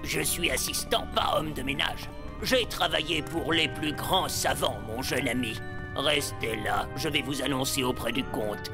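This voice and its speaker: An actor voicing a grumpy, weary assistant to a vampire count in a French cartoon, deadpan and sarcastic. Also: male voice, mid-range voice, measured pace, music in the background, close to an everyday voice. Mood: dour, formal.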